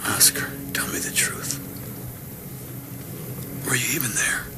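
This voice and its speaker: An actor playing a police detective in an audio drama, questioning in a slow, hushed, probing voice. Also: male voice, mid-range voice, in a whisper, over music, lightly acted. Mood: quiet menace. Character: male police detective interrogating in a menacing, hushed voice.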